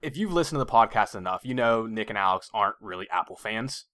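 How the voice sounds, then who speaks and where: A young man co-hosting a casual podcast, getting animated and ranting at his friends. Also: fairly low pitch, fast talker. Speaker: podcast co-host. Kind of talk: casual chat.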